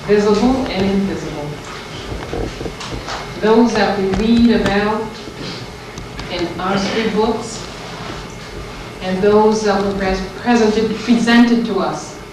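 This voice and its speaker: Woman, guest lecturer, solemn incantatory delivery, very slow, big echoing hall. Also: mid-range voice. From Haiti. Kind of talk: ceremonial invocation. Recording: echoey hall, distant mic, noisy.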